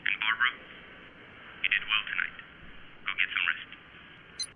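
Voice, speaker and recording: A man's voice: lowish voice, fairly even intonation, unhurried, gravelly, narrow, tinny audio. A man acting a stern, brooding masked vigilante mentor in an audio drama, terse and commanding. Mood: stern, approving. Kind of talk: superhero fan audio drama. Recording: loud background noise with clicks.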